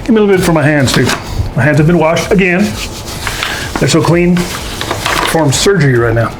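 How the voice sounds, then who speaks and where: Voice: medium pitch, animated, fairly quick, music in the background, low-quality recording. A folksy, jokey host casually talking through a baking demonstration to camera. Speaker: host of a paranormal show doing a baking segment.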